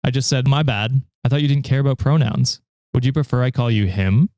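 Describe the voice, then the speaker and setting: A young man hosting a podcast, reading a story aloud and chatting about it in a relaxed, dry way. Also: medium pitch, fairly quick, dry recording. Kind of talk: story reading with casual chat.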